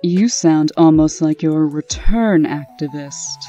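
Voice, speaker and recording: A woman's voice: low-pitched, warm tone, faint music behind. A woman voicing a stern, authoritative administrator in a comic dub. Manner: brisk, authoritative.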